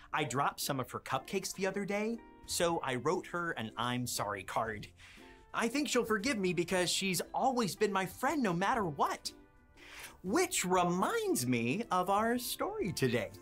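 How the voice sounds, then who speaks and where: A woman playing a friendly mail carrier who tells children Bible stories in simple, emphatic, expressive phrases. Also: mid-range voice. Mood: chatty. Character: friendly mailman storyteller, addressing children as friends.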